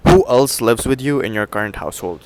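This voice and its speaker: A young man interviewing a fellow student, reading survey questions in a quick, breezy way and answering each reply with a quick "cool". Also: medium pitch, expressive, darkish tone, a little hiss or hum.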